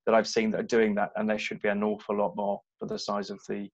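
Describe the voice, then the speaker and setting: Low-voiced man hosting, hesitant. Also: completely flat delivery, fast, creaky. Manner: hesitant, many ums and repeated words. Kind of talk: panel discussion. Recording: muffled online call audio.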